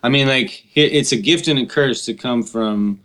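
A man's voice: mid-range voice, normal speed, a bit bright. A man co-hosting a casual interview, talking in loose slang and often checking in with "you know what I'm saying.". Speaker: podcast co-host.

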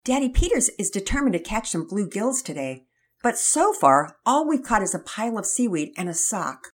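A woman's voice: mid-range voice, big pitch swings, normal speed, breathy edge. Mama Peters, a woman playing a sweet, reassuring mother. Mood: chatty. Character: gentle, kindly church mother, Mama Peters.

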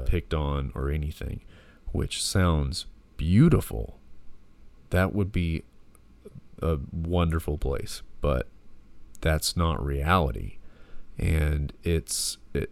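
A man in his thirties talks alone into a close mic, his voice hoarse from illness, very deep and full of gravel. He rambles slowly and reflectively, with plenty of fillers. The recording is bone-dry and clear. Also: darkish tone, no room sound. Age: 30s. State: hoarse from a respiratory infection. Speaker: podcast host. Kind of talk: casual solo monologue.

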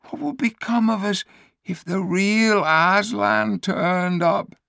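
A man voicing a timid, gentle male donkey who frets and pleads in a storybook reading. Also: sits high, slow pace, gravelly, no echo, clean studio sound, voice pushed up, exaggerated voice. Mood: fearful. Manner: anxious, pleading, hesitant. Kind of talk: storybook read-aloud.

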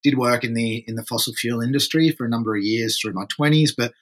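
A man's voice: medium pitch, quick pace. A man in his thirties from France, a podcast guest, talking casually and repeating words as he gathers his thoughts. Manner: rambling, repeats words while thinking. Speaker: podcast guest, surf environmentalist.

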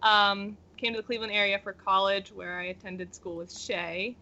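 Woman, 30s, from Pennsylvania, returning guest; bright, tense tone, giggly; muffled audio. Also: mid-range voice, steady tone, normal speed. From Pennsylvania, United States. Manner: giggly, laughing between statements. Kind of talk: casual interview chat. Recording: slightly dull lo-fi audio.

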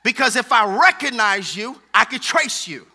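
A man's voice: extremely high pitch, expressive, normal speed, pushed and bright. An American man preaching a fervent sermon with emphatic, repetitive rhetorical phrasing.

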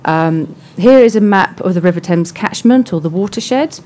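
A soft-spoken woman giving a measured conference presentation with frequent pauses. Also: fairly low pitch, animated. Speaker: conference speaker. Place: conference hall.

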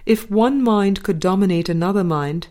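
A woman reading a spiritual book aloud as scripted narration, in measured, deliberate phrases with pauses. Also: medium pitch, dark tone. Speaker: audiobook reader.

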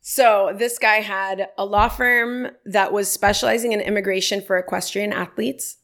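A woman's voice: mid-range voice, fairly even intonation, moderate pace, fairly bright tone. A woman in her thirties, telling stories in a casual interview and livening them up when quoting people. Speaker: podcast guest.

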